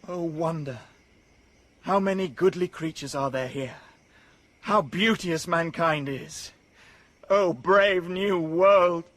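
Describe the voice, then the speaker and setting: A lonely, earnest young savage. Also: male voice, fairly high pitch, slow pace, a bit bright, dry recording. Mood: awed. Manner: wistful, earnest, wonder-struck. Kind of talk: BBC radio drama acting.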